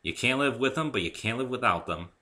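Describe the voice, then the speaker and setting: A man's voice: medium pitch, lively intonation, quick pace, sharp tone. A man voicing a polite, curious male visitor in a story, casual and a little hesitant.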